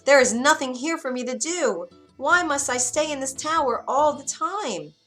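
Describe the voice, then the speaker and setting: A woman's voice: sits high, lively intonation, moderate pace, a bit bright, soft background music, voice pushed up. A woman voicing a restless young girl who complains and defies her captor in a storybook. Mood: plaintive. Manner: complaining, defiant.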